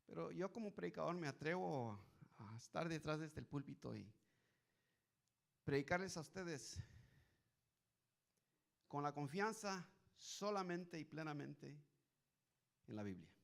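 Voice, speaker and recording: A middle-aged pastor from Guatemala preaching as a guest, hesitant with restarts at first, then building to emphatic preaching. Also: male voice, a little high, lively intonation, a little slow, gravelly, long pauses. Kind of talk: sermon.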